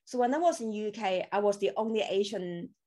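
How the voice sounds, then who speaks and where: A woman's voice: medium pitch, expressive, a little fast, warm tone. A woman from Hong Kong with Cantonese as her first language, telling her story as a podcast guest in a chatty way, with loose grammar and many fillers. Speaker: podcast guest, crypto coach. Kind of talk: casual interview chat.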